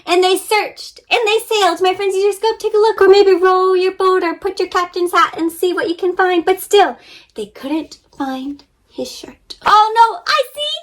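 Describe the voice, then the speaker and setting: A woman reading a storybook aloud to young children with animated, wide-eyed expression, guiding them directly as she goes. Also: extremely high pitch. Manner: addresses young listeners directly with questions and sound effects. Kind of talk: interactive storybook reading and paper-folding instruction.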